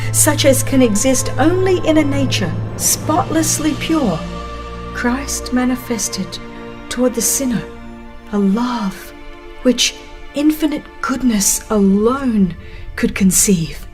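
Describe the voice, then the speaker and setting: A woman reading a religious book aloud as dramatized scripted narration, solemn, earnest and measured. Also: fairly high pitch. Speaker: audiobook narrator.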